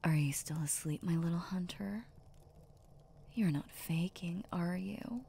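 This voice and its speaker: A woman voicing an affectionate, teasing, possessive werewolf lover in intimate ASMR roleplay, soft and breathy, often slipping into a whisper. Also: lowish voice, a little hiss or hum. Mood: tender, drowsy. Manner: slow, sultry, playful murmuring.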